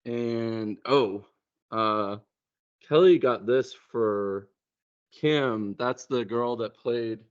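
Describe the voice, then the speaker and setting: A man in casual conversation, talking in a hesitant, halting way and often repeating words. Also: medium pitch, smooth. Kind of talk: casual chat. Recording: muffled, dull lo-fi sound.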